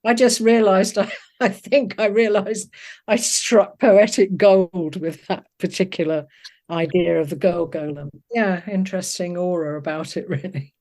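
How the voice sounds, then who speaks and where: An older woman with a low-pitched voice speaks as an interviewed poet. She is thoughtful and reflective, feeling her way through sentences with hesitations and rephrasing. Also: fairly even intonation, unhurried, darkish tone. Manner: thoughtful, hesitant, searching for words.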